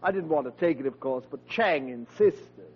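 An actor playing a pompous, sponging old veteran uncle, speaking in a grandiloquent, unruffled radio sitcom manner. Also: male voice, mid-range voice, lively intonation, moderate pace, breathy edge. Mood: smooth, unruffled. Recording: muffled, hissy vintage radio recording.

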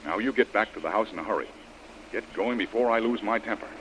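A male actor as a bossy American man of action from a classic radio serial. His voice sits low and his delivery is clipped and authoritative. A faint hiss of old broadcast noise runs behind him. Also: a bit bright, lightly acted, rough recording. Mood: angry, forceful. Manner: brisk, authoritative, clipped orders. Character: tough, commanding male adventurer, bossy and impatient. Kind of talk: old-time radio adventure acting.

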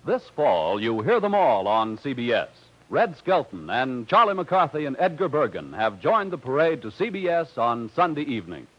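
A man's voice: mid-range voice, big pitch swings, moderate pace, hardly any pauses. A man with an American accent announcing credits in a formal 1940s radio style. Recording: dull vintage radio recording.

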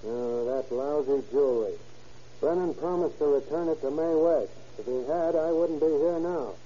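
Actor as dull-toned surly small-time crook. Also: male voice, fairly high pitch, normal speed, dark tone, natural voice. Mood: sullen, bitter. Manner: tough street-slang talk. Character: surly small-time crook, slangy, resentful but contrite. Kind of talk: 1940s radio crime drama acting. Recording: muffled old radio broadcast.

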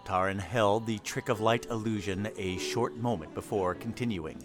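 A man's voice: lowish voice, moderate pace. A man narrating a scripted fantasy audio drama in the role of storyteller. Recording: clear music behind the voice. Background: music.

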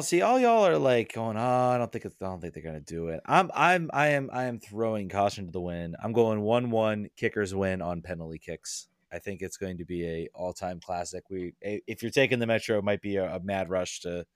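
A man with a lowish voice chats about soccer on a podcast, hesitating, repeating himself and drifting into asides. The sound is dry and close. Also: no echo. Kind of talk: casual soccer chat.

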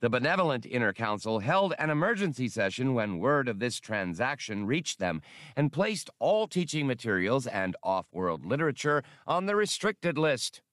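A man's voice: medium pitch, sing-song, moderate pace, fairly bright tone, no echo, clean studio sound, voice pushed up. A man voicing a tall alien police inspector in an audiobook, officious and pompous with forced heartiness. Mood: forced jocular. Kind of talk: audiobook character acting.

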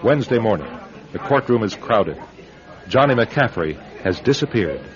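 A man hosting and narrating a radio drama from a script, dramatic and deliberate. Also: on the low side, sing-song, crowd noise. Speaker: host and narrator. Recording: dull, lo-fi radio broadcast. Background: music.

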